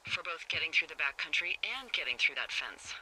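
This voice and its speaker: An actress playing a wry, watchful fire lookout in a video game, talking conversationally and sardonically over a two-way radio. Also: female voice, low-pitched, fairly quick, crowd noise, rough recording. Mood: uneasy. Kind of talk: naturalistic video game dialogue.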